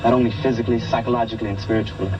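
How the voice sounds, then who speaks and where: A man's voice: lowish voice, steady tone, rapid-fire, dull audio. A man from Puerto Rico, a kung fu instructor, speaking intensely and earnestly in a documentary interview, repeating phrases for weight. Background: loud music.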